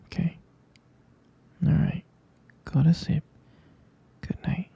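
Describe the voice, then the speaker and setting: A man playing a gentle, reassuring young vampire boyfriend in an intimate sleep-aid ASMR roleplay, murmuring tenderly and hesitantly in a breathy, husky whisper. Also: a little high, fairly even intonation, slow pace, no echo, highs cut off, heavily acted.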